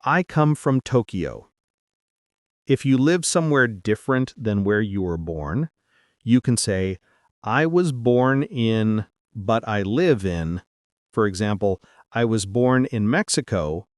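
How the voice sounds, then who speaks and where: A man hosting an English-learning podcast, speaking upbeat and clearly, slowly spelling out phrases for listeners to repeat. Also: mid-range voice, dry recording, studio quality. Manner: upbeat, clear, slow and deliberate for repetition. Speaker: podcast host and English teacher. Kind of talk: scripted English lesson.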